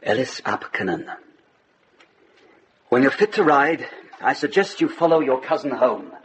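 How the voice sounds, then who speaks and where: A man's voice: medium pitch, sing-song, a little slow, a little hiss or hum, low-quality recording. An actor in a radio drama playing a stern but fair lord and lawman, speaking with terse authority. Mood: measured authority.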